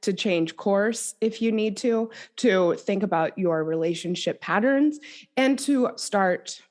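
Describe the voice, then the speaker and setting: A woman's voice: medium pitch, slow pace, darkish tone. A woman speaking as a coach and podcast guest, explaining her approach conversationally and thoughtfully.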